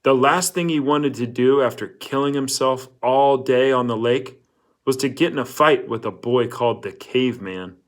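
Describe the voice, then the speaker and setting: A man's voice: mid-range voice, moderate pace. A man reading a novel aloud as scripted storybook narration. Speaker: audiobook-style reader.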